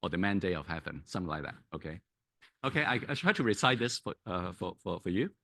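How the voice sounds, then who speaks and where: A man whose first language is Cantonese gives a public lecture, pausing on many fillers and restarts and checking in with "right?". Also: sits low, sing-song, a bit bright. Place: lecture hall.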